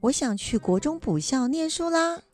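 A woman's voice: high voice, lively intonation, moderate pace, darkish tone, soft background music. A woman playing a stubborn, cheerful grandmother in a family radio drama, insistent and colloquial. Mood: casual. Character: stubborn, cheerful grandmother determined to go back to school.

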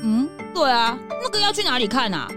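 High squeaky kid sidekick, whiny n dramatic, voice jumping all over, kids audio drama. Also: female voice, very animated, brisk. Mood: cocky, chatty. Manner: childlike, chatty, dramatic, easily panicked. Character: excitable, whiny young sidekick of a detective. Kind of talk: children's audio drama acting. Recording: music behind the voice.

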